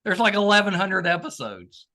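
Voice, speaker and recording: A man's voice: sits high, very animated, moderate pace, sharp tone, slight background noise. A middle-aged man hosting a podcast, chatting casually and agreeably. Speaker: podcast host. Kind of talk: casual chat.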